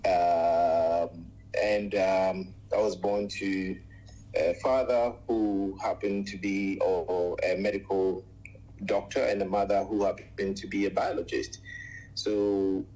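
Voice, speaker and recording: A man in his forties from Ghana, a radio guest telling his life story in a measured way, with many pauses and long lists. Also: low-pitched, steady tone, warm tone, rough recording. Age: 40s. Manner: measured, frequent "uh" pauses, lists things at length.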